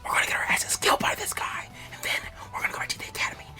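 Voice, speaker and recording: An excitable young ninja boy from an anime fan story, as voiced by a male reader. He is stubborn and anxious, with an animated delivery. Music plays behind him. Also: very fast. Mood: panicked whispering. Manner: animated, harsh urgent whispering when anxious. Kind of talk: animated fan fiction character reading.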